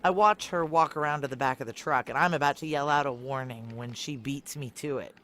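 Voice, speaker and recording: A woman's voice: extremely low pitch, brisk, a bit bright. A woman playing a guarded, brooding woman on the run who narrates a noir audio drama in a terse, wry, confiding way.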